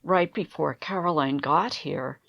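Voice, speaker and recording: An actress plays a guarded, worn-out woman in a sci-fi radio play. She speaks slowly, with lively rises and falls and some gravel in the voice. The recording is close and dry. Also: deep voice, no room sound, muffled recording, heavily acted. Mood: weary, haunted. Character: tense, weary woman hosting guests in a dangerous world. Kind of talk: audio drama acting.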